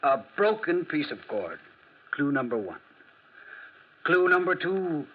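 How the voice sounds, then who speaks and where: A man playing a clever, self-assured French detective in a 1940s radio drama, with a theatrical, drily ironic, deliberate delivery. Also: fairly high pitch, sing-song, exaggerated voice. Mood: self-assured, emphatic. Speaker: actor playing detective and narrator. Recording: muffled 1940s radio recording.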